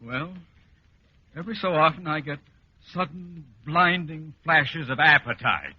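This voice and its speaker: An actor playing a blustery, hungry middle-aged grouch in an old radio sitcom, with pompous, grumbling delivery. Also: male voice, a little high, lively intonation, unhurried. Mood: self-pitying. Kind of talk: 1940s-50s radio sitcom acting. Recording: muffled old radio recording, loud noise, clicks.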